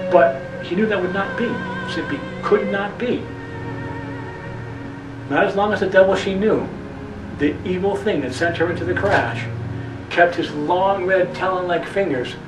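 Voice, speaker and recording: A man delivers a brooding, theatrical literary monologue as a storyteller, with deliberate, repetitive phrasing. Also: high-pitched. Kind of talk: dramatic literary monologue narration. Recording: echoey, distant mic, music underneath. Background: music.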